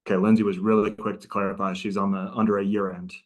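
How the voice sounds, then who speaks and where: A man's voice: lowish voice, flat delivery, fast talker. A middle-aged man giving a casual, chatty training presentation over a video call as a prosecutor and trainer. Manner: casual, chatty, interactive with audience.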